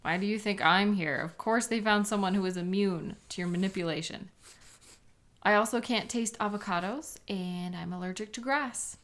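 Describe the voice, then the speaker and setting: A woman's voice: mid-range voice, normal speed, a bit bright, lightly acted. A woman playing an embittered, contemptuous police sketch artist with a sarcastic, scornful manner in a dramatic audio roleplay. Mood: cold, bitter.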